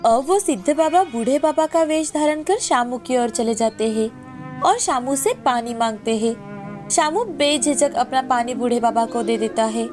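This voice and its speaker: Woman narrator for moral stories, notably high voice, scripted delivery over faint music. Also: unhurried. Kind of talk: scripted children's-tale narration.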